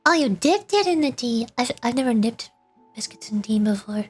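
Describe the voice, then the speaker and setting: A woman's voice: fairly high pitch, animated, normal speed. A woman livestreaming a game, chatting casually in a rambling way and stumbling as she reads dialogue aloud. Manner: rambling, stumbles over words while reading. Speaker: streamer, VTuber.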